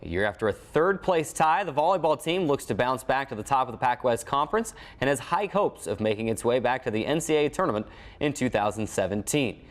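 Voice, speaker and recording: American man hosting sports news, medium pitch. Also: lively intonation, brisk. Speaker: sports show host and reporter. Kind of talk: scripted sports news narration.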